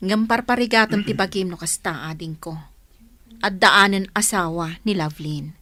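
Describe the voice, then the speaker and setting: A woman's voice: medium pitch, normal speed, bright, forceful tone, no echo, lightly acted. A woman acting a frank, caring Filipino elder sister in a radio drama. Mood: frank, concerned.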